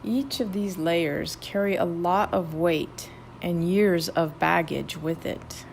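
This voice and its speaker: A woman narrating a guided meditation in a gentle, measured, instructional manner. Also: lowish voice, vocal fry, a little hiss or hum.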